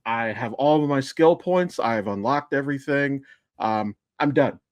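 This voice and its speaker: A man's voice: medium pitch, measured pace, no room sound. Rambling, foul-mouthed man in casual podcast chat. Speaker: podcast host.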